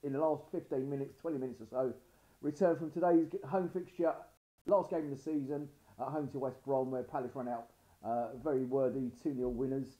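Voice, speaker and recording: A man, hoarse and croaky, hosting a casual live stream show and chatting in a rambling way. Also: medium pitch, steady tone, fairly quick, warm tone, poor audio. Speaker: podcast host.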